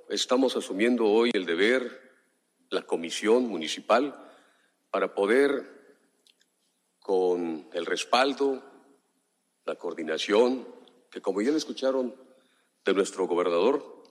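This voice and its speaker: Slow, deep-voiced man in a reverberant hall. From Juchitán, Oaxaca, Mexico. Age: 50s. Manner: slow, measured, formal address with long pauses. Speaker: municipal commissioner. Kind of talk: speech at a press conference. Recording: hall reverb, relayed press conference.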